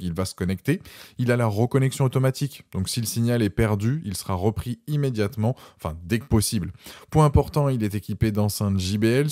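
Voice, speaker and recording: A man's voice: fairly low pitch, mellow, no room sound, clean studio sound. A man presenting a scripted product review voice-over, informally addressing viewers directly.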